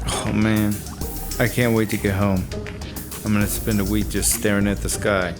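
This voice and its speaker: A man's voice: on the low side, level tone, moderate pace, over music, natural voice. A man acting an easygoing drinking buddy in a naturalistic radio drama, with an everyday voice. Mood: upbeat.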